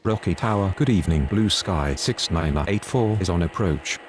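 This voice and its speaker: A man's voice: deep voice, lively intonation, normal speed. A man delivering scripted air traffic radio calls in standard pilot and controller phraseology.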